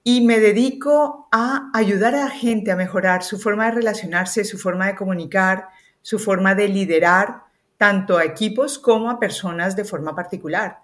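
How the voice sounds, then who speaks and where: A woman with a Colombian accent, a communication coach, talking through her work in a relaxed podcast interview with the odd hesitant filler. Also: mid-range voice, normal speed. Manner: articulate, explanatory, with hesitant fillers.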